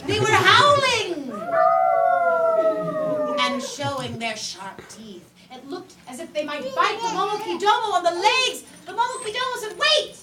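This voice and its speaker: A woman telling a story to young children in a playful, lively way with drawn-out emphasis, in a classroom. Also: extremely high pitch, heavy background noise. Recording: echoey room from far off.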